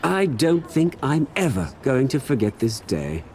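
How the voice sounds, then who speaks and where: A man's voice: mid-range voice, very animated, a little slow, a little mellow, a little hiss or hum. An actor playing a smug, scheming male crime boss, sneering and theatrical. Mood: gloating. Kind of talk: video game voice acting.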